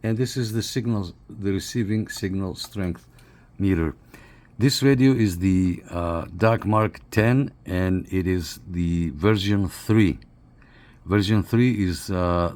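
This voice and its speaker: A man with a low, deep voice talks through a hands-on review without a script. He explains things step by step in short phrases, pausing between them. He speaks from behind the camera in a living room. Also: darkish tone. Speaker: radio reviewer. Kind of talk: unscripted product walkthrough.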